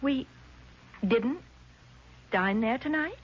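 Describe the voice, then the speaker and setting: A woman's voice: high-pitched, expressive, slow pace, slightly rough, heavily acted. An actress playing an agitated, confused woman in a 1970s radio drama, emphatic and indignant but faltering when doubted. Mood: shaken, confused. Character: agitated, confused woman insisting on her memory. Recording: muffled vintage radio broadcast, faint noise.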